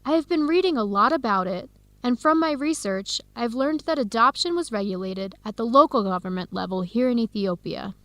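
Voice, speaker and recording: An actress playing an earnest young American woman researcher in a radio drama, with clear, expressive, carefully enunciated speech. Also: fairly high pitch, brisk, no room sound. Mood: earnest, explanatory. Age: young adult. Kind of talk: scripted radio drama dialogue.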